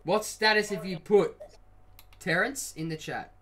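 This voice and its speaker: A young man with a headset, streaming a football video game and chatting loosely with friends, swearing and shouting at the play. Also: high voice, a little slow. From Australia. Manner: excitable, swears, loud outbursts at the game. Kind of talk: live stream commentary and chat.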